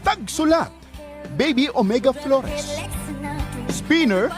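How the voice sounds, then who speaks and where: A woman's voice: high voice, expressive, takes it slowly, music in the background. A woman with a Cebuano accent reading a dramatic scripted announcement as a radio drama announcer.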